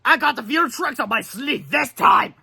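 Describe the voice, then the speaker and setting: A man's voice: very high voice, expressive, normal speed, vocal fry, sharp tone, slightly rough, voice pushed up, full character voice. A man voicing a menacing, gloating inventor villain in a robot suit for a storybook. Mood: smug.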